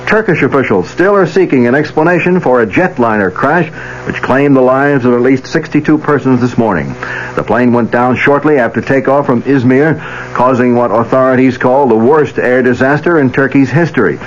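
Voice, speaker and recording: A man reading 1970s radio news in a formal, measured announcer's delivery. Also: medium pitch. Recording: muffled, noisy vintage radio with hum.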